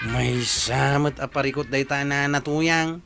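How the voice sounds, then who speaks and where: A man's voice: mid-range voice, steady tone, measured pace, gravelly, loud music behind the voice. A man with an Ilocano accent playing a grumbling villager fed up with gossip in a comedy radio drama.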